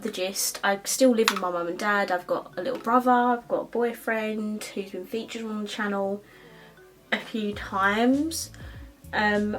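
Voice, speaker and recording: A woman in her twenties with an Essex accent, chatting casually while doing her makeup, with plenty of ums and likes. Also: medium pitch. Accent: Essex English. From Essex, England. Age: 20s. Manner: chatty, lots of ums and likes, quick laughs. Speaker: beauty vlogger. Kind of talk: chatty get-ready-with-me talk.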